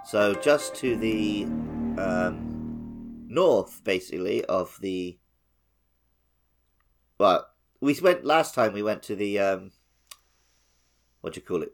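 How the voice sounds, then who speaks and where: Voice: low voice, slow, vocal fry, long pauses, soft background music. A podcast co-host chatting casually and conversationally about a video game.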